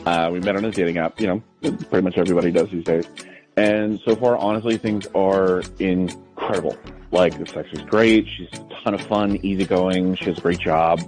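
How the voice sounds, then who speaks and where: A man calling a radio show, explaining himself casually in a halting, hesitant way with repeated words. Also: low-pitched, steady tone, darkish tone, faint music behind, poor audio.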